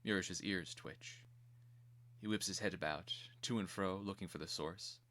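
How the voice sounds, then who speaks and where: A man narrating a screenplay as scripted drama, in a grave, deliberate and theatrical manner. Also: deep voice, fairly bright tone.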